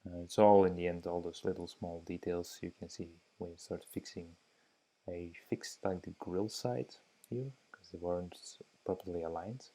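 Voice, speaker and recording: A man's voice: low voice, fairly even intonation, normal speed, mellow, rough recording. A young Belgian man who speaks Dutch as his first language, giving hesitant, thoughtful voice-over commentary on his drawing, with many fillers. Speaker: drawing teacher.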